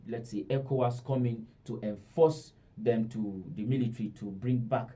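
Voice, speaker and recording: Male radio host, Nigerian accent, medium pitch, very soft mellow timbre, agreeable conversational interviewing. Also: normal speed. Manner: agreeable, echoes and affirms the guest.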